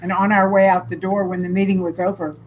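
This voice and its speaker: A woman hosting a casual chat, speaking with a loud, big voice while chiming in and prompting her co-host. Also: on the low side, level tone, quick pace, a little hiss or hum, low-quality recording.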